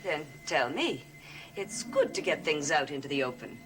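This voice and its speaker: An actress playing a poised, secretive woman who probes with smooth, coaxing concern, in theatrical 1970s gothic television soap style. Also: low-pitched, huge pitch swings, normal speed, over music.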